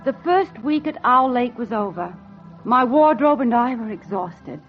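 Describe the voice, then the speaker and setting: Wry lovelorn holidaymaker, high voice. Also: female voice, animated, unhurried. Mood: dry, wistful. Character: wry, lovelorn single woman on holiday, narrating her hopes. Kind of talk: 1940s radio drama narration and acting. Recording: muffled 1940s radio recording with music.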